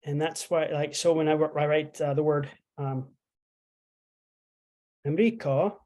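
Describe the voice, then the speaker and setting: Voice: mid-range voice, steady tone, unhurried, dark tone. Casual, chatty language instructor. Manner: chatty, explains with fillers and playful asides. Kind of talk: casual online language lesson.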